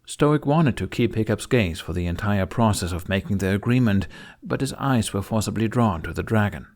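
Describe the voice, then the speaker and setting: Low-voiced man narrating an audiobook. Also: lively intonation, moderate pace, a little mellow. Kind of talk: dramatic scripted narration of fan fiction.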